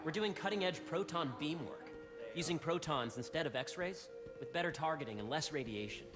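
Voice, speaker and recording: A man's voice: a little high, expressive, normal speed, music in the background, natural voice. Young company heir, earnest, upbeat, tour-guide energy. Male actor, game cutscene. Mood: eager. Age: young adult. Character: eager young heir giving a lab tour. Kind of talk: video game cutscene acting.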